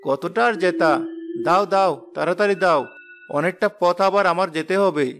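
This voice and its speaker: Man voicing a high-pitched plucky cartoon youth. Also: unhurried, background noise. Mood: haggling. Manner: animated, talking to himself. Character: plucky, stubborn young son-in-law on a journey. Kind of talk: cartoon acting. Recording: music bed under the voice.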